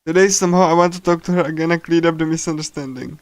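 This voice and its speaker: A man livestreaming a game, casually commenting and reading aloud in a halting way, often repeating himself and stumbling. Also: fairly high pitch, steady tone, no room sound. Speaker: streamer. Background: game music.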